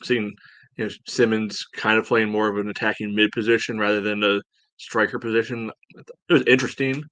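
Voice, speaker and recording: A man's voice: on the low side, level tone, brisk, a bit bright, no room sound. A man co-hosting a soccer podcast, chatting through analysis in a loose, conversational way. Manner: conversational, peppered with "you know". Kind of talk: casual sports analysis chat.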